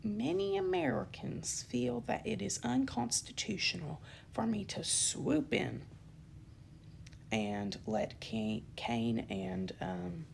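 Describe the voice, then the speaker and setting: Slow, heavily creaky young woman. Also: medium pitch, sing-song, a little hiss or hum. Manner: indignant and emphatic, with frequent ums and self-corrections. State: dry-throated. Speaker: channel host giving a campaign statement. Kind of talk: unscripted spoken statement to camera.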